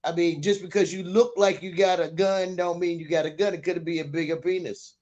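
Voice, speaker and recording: A man's voice: high voice, steady tone, brisk, darkish tone, dull audio. A man in an opinionated casual panel discussion, talking colloquially and rhetorically. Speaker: talk show panelist.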